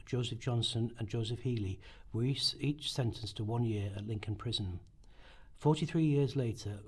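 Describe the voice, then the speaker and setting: Husky guy narrating history from a script, mid pitch, documentary style. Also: darkish tone. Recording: amateur rehearsal recording.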